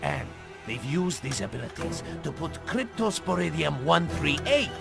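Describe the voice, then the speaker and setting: An actor playing a scornful Soviet spymaster in a video game, speaking gravely and deliberately. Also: male voice, a little high, big pitch swings, heavily acted. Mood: grave, menacing. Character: menacing, scornful Soviet spymaster briefing his comrades. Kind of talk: video game cutscene acting. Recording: dramatic music under the voice. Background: loud music.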